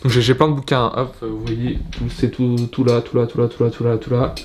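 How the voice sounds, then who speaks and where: A man's voice: medium pitch, level tone, brisk, a little mellow, a little hiss or hum. A young man casually talking to camera in his bedroom, hesitant, with many fillers and restarts. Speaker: fitness vlogger. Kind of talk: casual vlog talk to camera.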